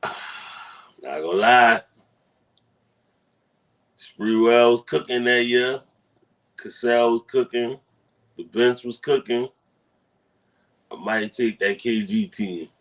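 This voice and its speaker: A man's voice: medium pitch, fairly even intonation, slow, pauses often. Blunt, swearing man in a sports chat. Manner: blunt, swears casually, laughs often. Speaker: podcast co-host. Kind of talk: casual sports debate chat.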